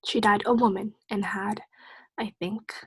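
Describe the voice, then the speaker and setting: A woman playing a calm, otherworldly guiding spirit in a radio play, speaking in a breathy half-whisper. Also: medium pitch, no echo, heavily acted. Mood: gently probing. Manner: slow, solemn guiding.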